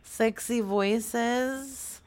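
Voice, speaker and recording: A woman's voice: on the high side, steady tone, drawn-out words, a little mellow. A woman in her forties making a playful, flirtatious prank phone call. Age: 40s. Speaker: prank caller.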